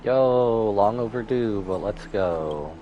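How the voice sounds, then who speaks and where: A man's voice: lowish voice, expressive, slow pace, dull audio, background noise. A man live-streaming casual, jokey reactions to a game, exclaiming and talking back to the characters. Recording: game music behind.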